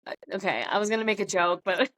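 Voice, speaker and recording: A woman's voice: mid-range voice, animated, quick pace, a bit bright. A middle-aged American woman chatting informally and jokingly as a podcast guest. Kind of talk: casual chat.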